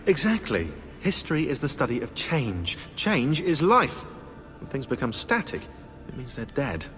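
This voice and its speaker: A man's voice: medium pitch, sing-song, normal speed, fairly bright tone. A man voicing a sarcastic, bookish historian in a video game, with a pedantic, sardonic way of talking. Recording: long hall reverb, music underneath.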